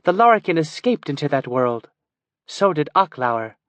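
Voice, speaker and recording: A man's voice: on the high side, very animated, moderate pace, dry recording, pitched above the performer's own voice. A man voicing a proud, stern female elf wizard who speaks in a commanding, cryptic way. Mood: urgent.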